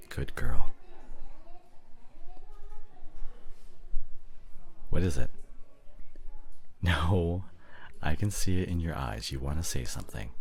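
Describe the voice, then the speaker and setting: Guy playing a devoted husband in asmr roleplay, super low husky voice, slow and soft, kinda teasing and sorry. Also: exaggerated voice. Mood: tender, remorseful. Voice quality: husky, gravelly, often breathy. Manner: soft, halting, drops into whispers. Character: remorseful, devoted husband nursing his injured wife, teasing. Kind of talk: intimate ASMR roleplay drama.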